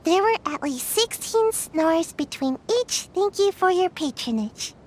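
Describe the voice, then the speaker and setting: A woman playing an excitable, naive little girl in an English-language video game. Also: very high voice, measured pace, heavy background noise. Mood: excited. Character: excitable childlike little girl, naive, eager and sleepy-headed. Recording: game music clearly playing behind the voice. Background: video game music.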